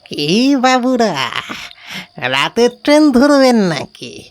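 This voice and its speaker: An actor playing an eerie middle-aged village hawker in a horror audio story, with a hoarse hissing rasp and slow, deferential rustic speech. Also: male voice, very high voice, sing-song, no room sound, exaggerated voice. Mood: eerie, calm.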